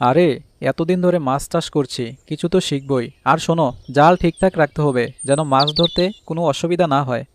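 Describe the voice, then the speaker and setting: Higher-pitched village husband played by a man, noisy birdsong. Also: a little fast, close to an everyday voice. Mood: practical, upbeat. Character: village husband of two wives, fish farmer, peacemaking. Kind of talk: Bangla cartoon voice acting.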